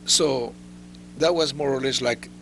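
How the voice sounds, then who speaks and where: A man's voice: mid-range voice, takes it slowly, gravelly, noisy background. A man hosting a studio interview, speaking with effusive praise. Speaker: interview host. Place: recording studio.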